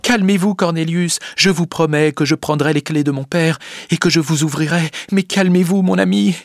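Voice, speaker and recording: A man's voice: fairly high pitch, moderate pace, vocal fry, no room sound, voice pushed up. A man voicing a distraught, pleading young woman in a storybook reading. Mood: urgent pleading. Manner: breathless, exclaiming, pleading.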